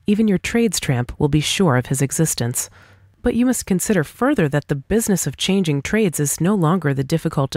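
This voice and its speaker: A woman's voice: sits low, darkish tone, no room sound, studio quality. A woman reading a scripted dialogue aloud as for an audiobook, in a formal, argumentative manner. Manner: formal, argumentative, rhetorical questioning. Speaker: audiobook reader.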